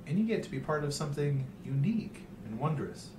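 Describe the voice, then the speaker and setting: Roomy, noisy recording, man acting a creepy, smooth-talking dentist. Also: a little high, very animated. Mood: persuasive. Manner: unctuous, measured persuasion. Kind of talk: hobby audio story reading. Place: hotel room. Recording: roomy hotel room, distant, noisy hum.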